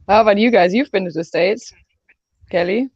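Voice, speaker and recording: A woman's voice: mid-range voice, moderate pace, a little mellow, no room sound. Brief: female guest, non-native english, chatty and word-searching, dry dull lo-fi sound. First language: not English. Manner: chatty, laughs often, searches for words. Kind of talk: casual interview chat.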